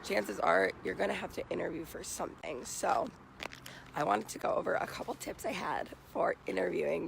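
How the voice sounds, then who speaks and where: A young American man with a raspy, morning-hoarse voice, giving casual advice to viewers as a vlogger. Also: high-pitched, slight background noise. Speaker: vlogger giving job interview tips. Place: outdoors.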